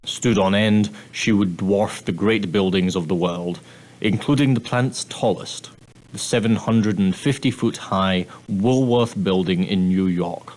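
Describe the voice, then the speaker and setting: A prim and proper male steward of an ocean liner, acted by a man, speaks in a fairly low voice. He is formal and clipped, with a dry, condescending edge beneath the courtesy. It is scripted character dialogue for an adventure game. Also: measured pace, darkish tone, close to an everyday voice, poor audio. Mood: formal, courteous. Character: prim, straight-laced male ship's steward, dryly sardonic.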